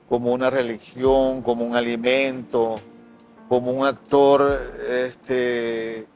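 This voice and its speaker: Interview guest: male, sixties, Maracaibo accent, stage actor's emphatic, heartfelt delivery, mid-pitched, music underneath. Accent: Venezuelan, from Maracaibo. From Venezuela. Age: 60s. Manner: impassioned, emphatic, with repetitions for effect. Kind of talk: personal interview testimony.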